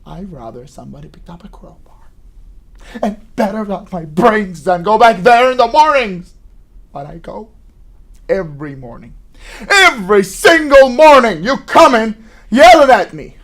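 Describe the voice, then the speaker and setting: A man acting a trapped, bitter son in a theatrical monologue, swinging between hushed and shouted delivery. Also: high-pitched, sing-song, normal speed, vocal fry, pauses often, heavily acted. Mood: angry, anguished. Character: trapped, bitter young son arguing with his mother.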